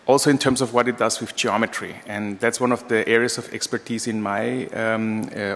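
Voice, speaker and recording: A man in his forties with a lowish voice and an Austrian accent gives a lecture. He phrases things informally and a little hesitantly, with small asides. The long reverb of a lecture hall trails his words. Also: a bit bright. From Austria, raised in Vienna. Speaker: architecture professor and practicing architect. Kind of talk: lecture to an audience. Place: large room, indoors, at a lectern. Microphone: gooseneck lectern microphone.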